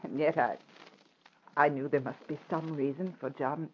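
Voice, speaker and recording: Actress as anxious, halting wife, dull old radio recording. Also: female voice, sits low, fairly even intonation, a little hiss or hum, lightly acted. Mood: hesitant, troubled. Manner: hesitant, halting. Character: anxious, grieving married woman, wary and shaken. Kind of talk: old-time radio drama acting.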